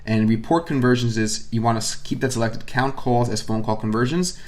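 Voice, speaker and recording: A man's voice: mid-range voice, a little fast, darkish tone. Male course instructor, mid-range voice, casual and explanatory, narrating on-screen steps. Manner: casual, explanatory, thinking aloud. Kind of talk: screen-share software tutorial narration. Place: indoors at a computer, voice-over of screen recording.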